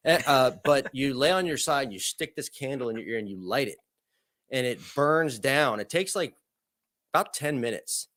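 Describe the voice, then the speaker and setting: A man's voice: medium pitch, expressive, moderate pace, fairly bright tone, dry recording. Chatty joking man in his thirties. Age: 30s. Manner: chatty, joking, lots of "like" and "okay". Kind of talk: casual chat.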